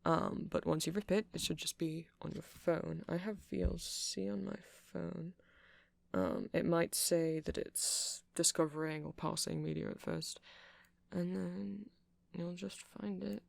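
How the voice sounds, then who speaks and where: A man playing a nerdy, friendly vampire shopkeeper in an ASMR roleplay, soft-spoken and gravelly, rambling hesitantly as he explains tech. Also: on the high side, fairly even intonation, measured pace, no echo. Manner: rambling, hesitant, self-conscious geeky explaining.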